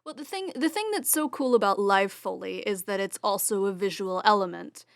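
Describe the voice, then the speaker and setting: A woman's voice: medium pitch, animated, a little fast, creaky, no room sound, studio quality. A woman who works in theater, speaking informally and frankly in a casual group interview. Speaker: theater stage manager and Foley artist.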